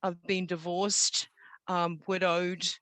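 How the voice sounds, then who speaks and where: A middle-aged woman with a New Zealand accent, sharing personal motivational stories in an earnest, reflective and deliberate way on an online call. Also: on the low side, level tone, a bit bright, no room sound. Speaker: guest storyteller. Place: online video gathering. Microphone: video call.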